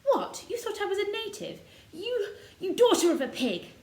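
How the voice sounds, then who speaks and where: A woman's voice: very high-pitched, big pitch swings, moderate pace, darkish tone, roomy sound, pitched above the performer's own voice. A woman voicing an imperious, spoiled little girl with a haughty, demanding way of talking in a storybook. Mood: furious.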